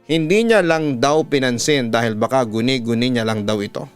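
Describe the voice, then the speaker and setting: A man's voice: mid-range voice, normal speed, smooth. A man with a Filipino accent reading a listener's horror letter aloud as a storytelling host. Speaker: host and narrator of a listener-story channel, radio announcer. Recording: music bed behind the voice.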